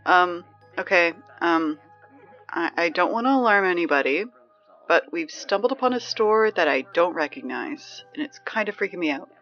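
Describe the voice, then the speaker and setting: A woman playing a flustered, bossy high school girl in an audio drama, halting and easily exasperated, heard over a baby monitor. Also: lively intonation, fairly bright tone. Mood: nervous, uneasy. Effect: baby monitor transmission. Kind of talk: naturalistic audio drama acting. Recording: dull baby-monitor sound with music.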